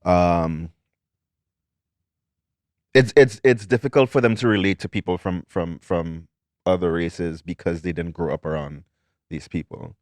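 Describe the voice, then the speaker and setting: A young man hosting a podcast, asking questions slowly and hesitantly while searching for the right words. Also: sits low, darkish tone, no echo. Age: young adult. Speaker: podcast host. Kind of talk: casual interview.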